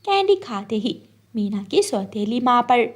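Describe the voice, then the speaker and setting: A woman's voice: fairly high pitch, sing-song, a little slow. A woman storyteller narrating a scripted children's story.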